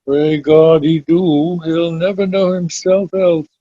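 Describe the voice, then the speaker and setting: A man reading Shakespeare verse drama aloud as an amateur, in a measured, deliberate way over a dull online call. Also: on the high side, flat delivery, mellow, dry recording.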